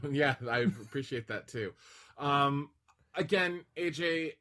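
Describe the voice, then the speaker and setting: A man's voice: medium pitch, slow, sharp tone. A man co-hosting a podcast in casual chat, effusive and grateful in the way he talks. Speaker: podcast co-host.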